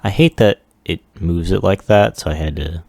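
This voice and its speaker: A man giving a casual, unscripted software walkthrough in a halting, offhand way with mumbled asides. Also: low voice, vocal fry, no room sound. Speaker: 3D animation hobbyist presenting a demo.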